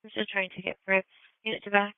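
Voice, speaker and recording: A woman's voice: mid-range voice, flat delivery, fast talker, clear, resonant tone, no room sound. A woman working as a police dispatcher, giving clipped, procedural calls with codes and addresses over a radio. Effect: police radio transmission. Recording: tinny narrow-band police scanner radio.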